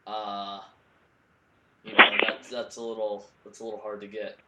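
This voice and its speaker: A man making a prank call in a halting, awkward, deadpan and naive-sounding conversational manner. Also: on the low side. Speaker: prank caller. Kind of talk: casual improvised phone conversation. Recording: roomy echo with faint background noise.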